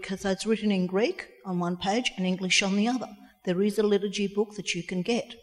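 A woman of sixty, speaking from the audience in a plain, halting, personal way. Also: mid-range voice. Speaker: audience member at a community talk. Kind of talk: personal comment from the floor. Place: reverberant indoor hall. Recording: echoey hall with long reverb.

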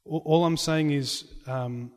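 A man's voice: a little high, fairly even intonation, slow. A man who chants in church, speaking in a question-and-answer discussion, earnest and conciliatory, often restarting his sentences. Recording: long reverb of a hall.

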